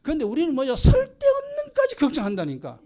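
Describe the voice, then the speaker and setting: An older man with a Gyeongsang Korean accent preaches, scolding his listeners with pointed rhetorical questions. His voice sits high and leaps across a very wide range, rising sharply when he presses a point. He talks straight to the congregation. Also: huge pitch swings, fast, a little mellow, a little hiss or hum. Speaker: pastor. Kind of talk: sermon.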